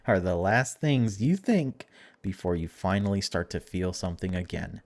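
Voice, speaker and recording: A man's voice: low-pitched, lively intonation, unhurried, a little mellow. A man hosting a gaming video, reading story text aloud dramatically and breaking off for casual, wry asides.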